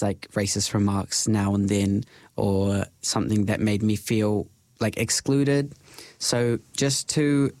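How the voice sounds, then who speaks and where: A man's voice: fairly low pitch, a little mellow, dry recording. A young man with a New Zealand accent, a podcast guest talking earnestly and thinking aloud with many filler words. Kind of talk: casual podcast interview.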